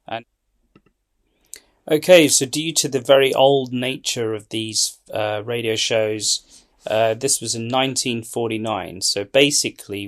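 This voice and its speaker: A man speaks as the host of a channel, introducing a programme in a loose, unscripted way. His delivery is halting and hesitant, and he often doubles back to correct himself. His pitch sits in an ordinary middle range for a man. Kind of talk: rambling, loosely improvised show introduction.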